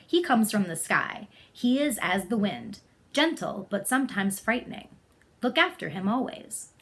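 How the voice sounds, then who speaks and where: A woman voicing a benevolent rider spirit from the sky in a storybook, solemn and measured as a gift is bestowed. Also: mid-range voice, sing-song, a bit bright. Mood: solemn, reassuring.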